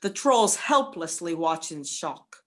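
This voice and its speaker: A woman's voice: fairly low pitch, lively intonation, slow pace. A woman narrating a scripted folktale as a dramatic storyteller for a radio play.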